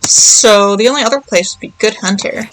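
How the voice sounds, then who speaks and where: A woman's voice: mid-range voice, normal speed, slight background noise, low-quality recording. A woman chatting casually as she streams a game, rambling and thinking aloud. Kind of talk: casual livestream chat while playing.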